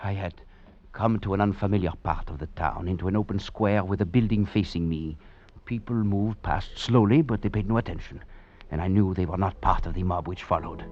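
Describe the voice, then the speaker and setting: An actor playing a cunning, roguish escaped French convict on the run, in theatrical golden-age radio drama style with French endearments. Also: male voice, low voice, a little fast, lightly acted. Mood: tense narration. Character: hunted escaped French convict, cunning, roguish, suave, narrating his flight. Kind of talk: 1940s-50s American radio drama acting. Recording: muffled vintage radio recording, music behind.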